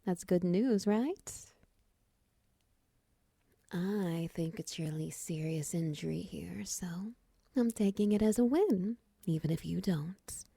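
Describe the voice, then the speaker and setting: A woman playing a friendly, teasing half-spider monster in an intimate audio roleplay, soft-spoken, breathy and often close to a whisper. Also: on the low side, no echo, full character voice. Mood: caring, reassuring. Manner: gentle, teasing, slow murmurs with soft chuckles.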